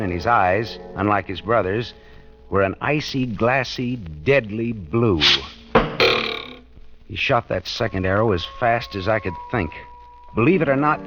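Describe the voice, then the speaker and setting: Low-voiced, hard-boiled American radio detective played by an actor. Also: male voice, close to an everyday voice. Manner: wisecracking, colloquial, dramatic. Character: wry, hard-boiled male private detective, narrating his own case. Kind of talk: 1940s radio detective drama acting, noir narration. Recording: dull old radio recording with music.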